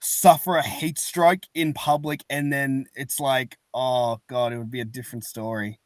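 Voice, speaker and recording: A man's voice: medium pitch, little pitch movement, moderate pace, no room sound. A man chatting casually as a podcast host, rambling and informal. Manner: rambling, informal, restarts thoughts. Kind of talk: casual chat.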